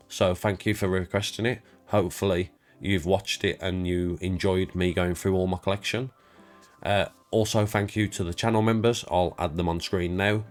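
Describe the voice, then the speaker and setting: A man with a congested, stuffed-up voice, rambling casually and self-deprecatingly as he walks through his game collection for his channel. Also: low voice. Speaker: YouTube creator.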